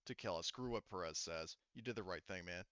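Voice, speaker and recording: A man's voice: low voice, fast talker, fairly bright tone, clean tone, dry recording, dull audio, voice pushed down. A man voicing an earnest, firm woman scientist in a space horror tabletop game. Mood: reassuring, resolute.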